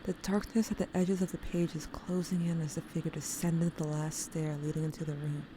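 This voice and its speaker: A woman narrating as an adult looking back on childhood, quiet and often close to a whisper. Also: sits low, little pitch movement, moderate pace, background noise, lightly acted. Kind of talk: first-person narration in audio drama.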